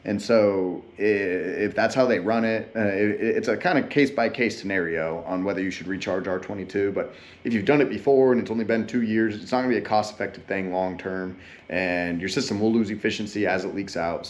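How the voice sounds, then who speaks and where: A young man, an HVAC technician, explaining casually in a rambling way with many fillers and restarts. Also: lowish voice. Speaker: HVAC technician and channel host. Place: backyard beside an AC unit, later a small office.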